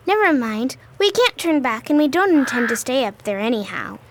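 A woman's voice: high-pitched, very animated, moderate pace, a little hiss or hum. A woman playing a plucky, earnest little girl who speaks matter-of-factly in a radio play. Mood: determined.

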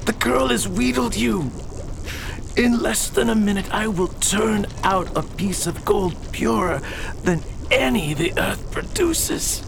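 Old mad alchemist dad, high creaky voice, suspicious and theatrical, noisy radio play sound behind. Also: male voice, takes it slowly, heavily acted. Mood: outraged, scornful. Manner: theatrical, declamatory. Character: obsessed elderly alchemist father, bitter, suspicious, feverishly exultant. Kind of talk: radio drama acting.

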